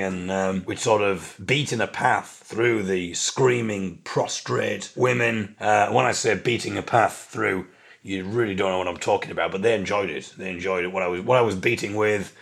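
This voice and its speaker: A tired man with a slightly raspy voice, hosting a comic monologue podcast in a deadpan, rambling, self-mocking way. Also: lowish voice. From England. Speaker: podcast host.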